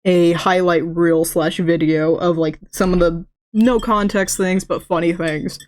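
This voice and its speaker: A woman's voice: on the low side, steady tone, unhurried, darkish tone. A woman with a lisp chatting casually on a live stream, rambling from story to story, stuttering and restarting words mid-sentence. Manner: chatty, rambling, laughs often.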